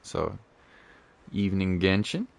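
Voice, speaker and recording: A man's voice: deep voice, sing-song, slow pace, vocal fry, dry recording. A man giving casual live game commentary as a streamer, with a slangy, joking, laid-back way of talking.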